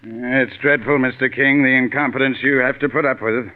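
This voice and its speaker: An actor playing a fussy, fawning company president in 1940s radio drama style, deferential and flattering. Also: male voice, medium pitch, moderate pace, close to an everyday voice. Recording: thin, phone-like 1940s radio recording.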